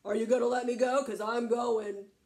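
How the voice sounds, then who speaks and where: A woman's voice: a little high, steady tone, normal speed, a little mellow, natural voice. A woman voicing a big, strong, cocky male goat in a children's story, blunt and challenging. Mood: defiant. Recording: echoey room.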